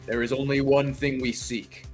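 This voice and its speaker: A man's voice: mid-range voice, flat delivery, normal speed, close to an everyday voice. A man voicing a grave, formal man of the law in a fan dub of a courtroom game. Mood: grave, solemn. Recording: music under the voice.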